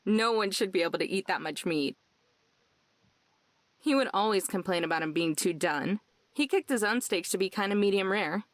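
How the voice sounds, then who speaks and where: An actress playing a wry, easygoing, gently teasing young woman in a visual novel. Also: mid-range voice, normal speed, natural voice. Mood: fond.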